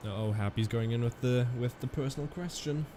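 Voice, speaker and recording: A man's voice: mid-range voice, fairly quick, background noise. A man live-streaming a flight simulator, chatting casually with viewers and rambling through fillers and restarts.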